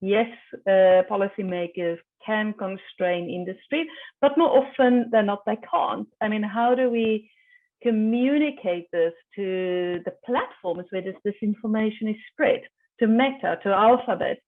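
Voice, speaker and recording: A woman's voice: medium pitch, a little slow. A woman speaks as a panelist over a video call, and the audio sounds tinny and phone-like. She thinks aloud and often stops to restart her sentences. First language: Afrikaans. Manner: thinking aloud, hesitant with repeated starts.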